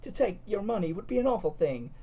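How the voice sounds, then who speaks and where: A performer voicing a muscle-bound, sentimental, lovestruck sandwich shop guy in a chummy, overwrought, halting way. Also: mid-range voice, sing-song, narrow, tinny audio, pitched above the performer's own voice. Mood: pained, lovestruck.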